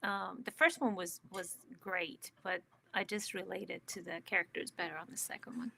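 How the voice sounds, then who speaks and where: A woman's voice: lowish voice, steady tone, moderate pace, gravelly, pushed and bright, slight background noise. A woman co-hosting a casual movie review chat, laid-back, mostly giving short agreeing replies. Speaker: podcast co-host.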